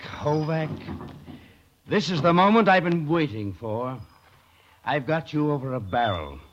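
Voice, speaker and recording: A man playing a wealthy, cocky gambler who is quick to take offence, in theatrical 1940s radio acting. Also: a little high, expressive, lo-fi sound. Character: wealthy, cocky gambler turned indignant, accusing castaway. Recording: band-limited, faintly noisy old radio recording.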